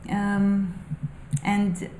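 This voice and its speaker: A woman speaking English as a second language muses about spiritual questions, halting and thoughtful with frequent fillers. Her voice is mellow and unhurried. The room sounds echoey, with a faint hum of ambience behind her. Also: mid-range voice, little pitch movement. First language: not English. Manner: thoughtful, halting, many ums and restarts. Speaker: spiritual vlogger. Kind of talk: unscripted musing monologue.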